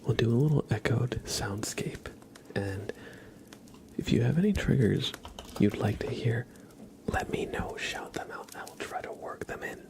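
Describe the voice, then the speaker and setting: A man hosting a live ASMR stream in whispers, softly repeating short phrases to the listener. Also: mid-range voice, unhurried. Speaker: ASMR livestream host.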